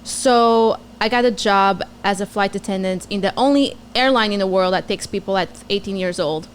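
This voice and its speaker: A woman's voice: medium pitch, moderate pace, a little hiss or hum. A woman in her twenties from Portugal with a Portuguese accent, a comedian guest chatting bluntly and jokingly on a podcast. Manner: blunt, swears freely, jokey. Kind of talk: casual podcast chat.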